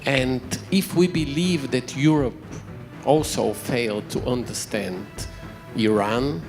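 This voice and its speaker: Guy, middle aged, slow creaky voice, asking from the audience, music behind. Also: medium pitch. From Bulgaria. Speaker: audience questioner, academic. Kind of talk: prepared question from the floor.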